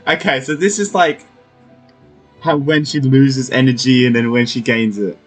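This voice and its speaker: A young man giving casual, halting gameplay commentary, reacting informally as he plays. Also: mid-range voice. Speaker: gamer playing through a story quest. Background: game music.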